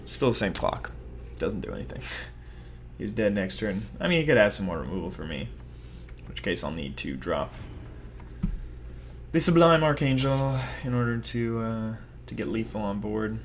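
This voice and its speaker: A man's voice: on the low side, animated, a little slow, long pauses, a little hiss or hum. A young man giving rambling, self-mocking commentary over his own card game, talking casually to viewers. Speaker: gaming video host.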